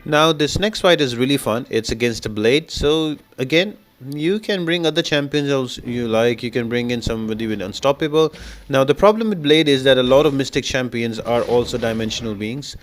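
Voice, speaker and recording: A man's voice: medium pitch, a little fast. A man giving instructional, step-by-step commentary as the host of a video game guide. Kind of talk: game walkthrough commentary, explanatory.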